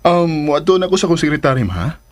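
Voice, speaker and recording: An actor playing a hopeful, lovestruck adult son in a Filipino radio drama, eager, with a Filipino accent. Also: male voice, fairly high pitch, big pitch swings, brisk, no echo. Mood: happy, hopeful.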